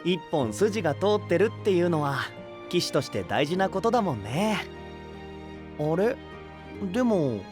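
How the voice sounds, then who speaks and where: A male actor playing a gentle, thoughtful young knight in an anime audio drama, mild and hesitant. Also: sits high, very animated, normal speed, music in the background.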